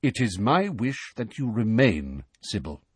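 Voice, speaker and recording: A man voicing an old wizard headmaster, deep-voiced, pleasant and courteous in measured speech. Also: sing-song, husky, no room sound, heavily acted. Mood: calm.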